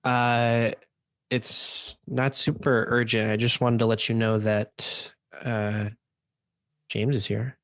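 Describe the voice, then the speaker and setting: A man plays a young woman at a party in a radio play. She is guarded and a bit snobbish, chatting casually in a medium male-range pitch, recorded very dry. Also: level tone, slow, fairly bright tone, clear, resonant tone, no room sound. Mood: casual, gossipy. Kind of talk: casual improvised phone-chat acting.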